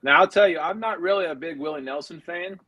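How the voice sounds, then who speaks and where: A man's voice: fairly high pitch, fast, bright, forceful tone, slightly rough, dry recording. A man from Colorado chatting casually and reading out viewer comments. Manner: laughs easily, explains things. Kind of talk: casual chat.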